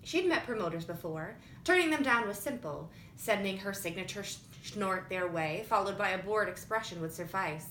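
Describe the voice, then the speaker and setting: Lady reading a novel out loud, crisp bright tone, echoey, slight background noise. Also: animated, moderate pace, hardly any pauses. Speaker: audiobook reader. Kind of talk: scripted fiction narration. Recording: roomy echo with faint rumbling noise.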